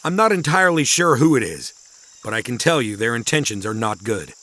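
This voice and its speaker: A man playing a grave, secretive professor in a kids' audio drama, speaking in a measured, cryptic and ominous way. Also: mid-range voice, sing-song, bright, forceful tone.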